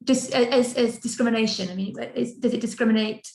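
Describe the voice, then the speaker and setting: A woman's voice: a little high, fairly even intonation, a little fast, darkish tone, reverberant. A young adult woman moderating a live online Q&A, relaying audience questions in a friendly way over a video call. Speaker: event moderator.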